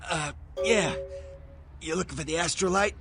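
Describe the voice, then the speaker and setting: An actor playing a badly beaten, foul-mouthed underworld contact in a video game, groaning and gasping through coarse, halting talk. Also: male voice, fairly high pitch, noisy background, close to an everyday voice. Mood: pained, panicked. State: in agony, gasping.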